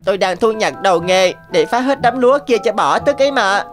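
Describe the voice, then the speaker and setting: A woman's voice: medium pitch, expressive, brisk, music in the background, natural voice. A woman voicing a small, clever cartoon rabbit with an earnest, coaxing manner. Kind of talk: animated cartoon dialogue.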